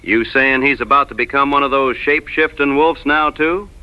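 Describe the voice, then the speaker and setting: A man's voice: mid-range voice, moderate pace, pushed and bright, clear, resonant tone, poor audio. A man playing a worried backwoods frontiersman, the hero's companion, in an audio drama, with folksy rural phrasing. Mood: uneasy.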